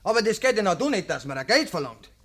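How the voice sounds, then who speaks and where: High, lively Bavarian village lad. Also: male voice, quick pace, heavily acted. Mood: defensive. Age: young adult. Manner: hedging, defensive. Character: brazen village lad, self-justifying accuser. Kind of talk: German radio play acting in dialect.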